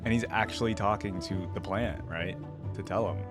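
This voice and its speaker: Low-voiced man giving casual reaction commentary. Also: normal speed, music in the background. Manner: informal, conversational, musing aloud. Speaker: host of a reaction channel.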